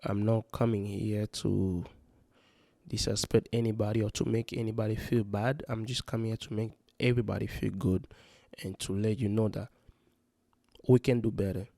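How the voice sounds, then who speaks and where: A man's voice: on the low side, little pitch movement, moderate pace, vocal fry, warm tone, no room sound. A man from Congo, talking earnestly and rambling in a casual vlog, full of "you know" fillers. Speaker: vlogger giving parenting advice. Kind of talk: casual spoken vlog monologue. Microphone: handheld or close mic.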